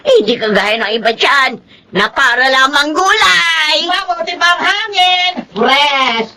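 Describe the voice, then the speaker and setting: A woman's voice: sits high, lively intonation, unhurried, bright, forceful tone, a little hiss or hum, heavily acted. An actress playing a taunting older woman in a TV drama who later clutches her chest in pain. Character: older woman, Rufina, rival neighbor with chest pains. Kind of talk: Filipino TV drama acting.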